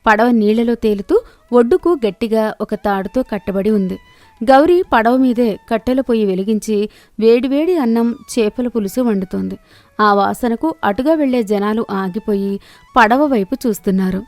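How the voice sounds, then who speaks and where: A woman narrating a children's moral story in a steady, clear storytelling manner. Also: fairly high pitch, normal speed, dry recording, faint music behind.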